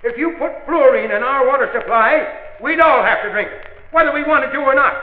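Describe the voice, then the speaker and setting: A man's voice: very high voice, a little fast. A man playing a stubborn, indignant civic opponent in a 1940s radio drama, orating emphatically and repeating his phrases. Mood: indignant oratory. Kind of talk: 1940s radio drama acting, public oratory. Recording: lo-fi echoey old radio transcription.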